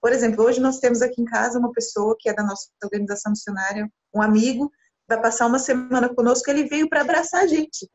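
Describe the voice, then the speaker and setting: A woman's voice: on the high side, quick pace. A Brazilian woman in her thirties, a missionary, talking earnestly in an interview over a video call from a small room. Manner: earnest, expressive with hand gestures. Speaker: missionary guest. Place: small room indoors, sitting beside her husband. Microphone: laptop camera mic on a video call. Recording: dull lo-fi video call audio.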